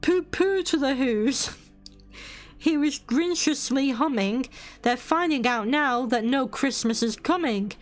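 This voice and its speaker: A woman's voice: sits high, animated, slow pace, soft background music. A British woman streamer reading a bedtime story aloud, with cheeky, playful asides.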